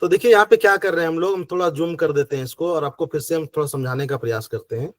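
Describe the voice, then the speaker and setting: A man's voice: on the high side, fast talker, no echo, studio quality. A man with a sore throat and a cough, patiently teaching an online acupressure class and counting points one by one. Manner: patient, counts numbers step by step. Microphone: video call.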